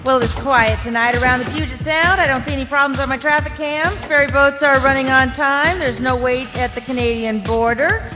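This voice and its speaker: An actress playing a perky radio traffic reporter delivering a short local traffic report. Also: female voice, high-pitched, brisk, hardly any pauses, in a large hall, dull audio, over music, lightly acted.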